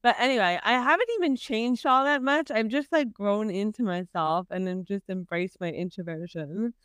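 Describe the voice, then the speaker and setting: A woman's voice: mid-range voice, moderate pace, a bit bright, clear, resonant tone, no echo. A woman in her twenties from New York, co-hosting a podcast in casual, quirky chat and laughing often. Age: 20s. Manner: giggly, quirky, chatty. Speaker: podcast co-host.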